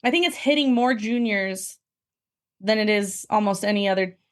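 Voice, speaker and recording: A woman's voice: mid-range voice, moderate pace. A young woman chatting casually as a podcast guest, restarting phrases and often tagging them with "right?". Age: young adult. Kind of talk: casual sports podcast chat.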